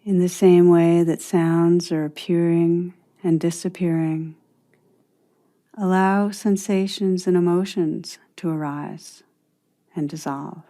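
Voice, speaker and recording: A woman narrating a guided meditation as a teacher, in a soothing, unhurried manner with long pauses between phrases. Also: lowish voice, level tone, mellow.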